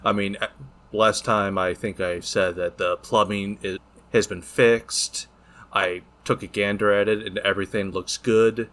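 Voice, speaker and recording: A man's voice: on the low side, flat delivery, a little slow, fairly bright tone. A man co-hosting a podcast in casual chat, joking and swearing freely as he talks. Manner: profane, joking banter. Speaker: podcast co-host.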